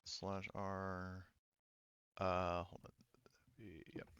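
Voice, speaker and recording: A man speaking casually and haltingly, with some swearing, as game master of an online tabletop roleplaying game. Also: sits low, monotone, a bit bright, clear, resonant tone, dry recording, highs cut off.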